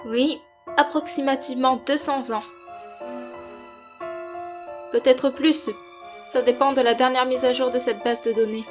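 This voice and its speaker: A woman's voice: high-pitched, fairly even intonation, measured pace, long pauses, music in the background. A woman playing a confident, analytical female agent in a cartoon fan dub.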